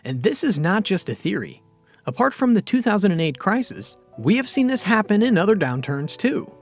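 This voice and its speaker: A man reading a scripted finance explainer narration in an even, steady, explanatory way. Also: high-pitched, moderate pace, soft background music.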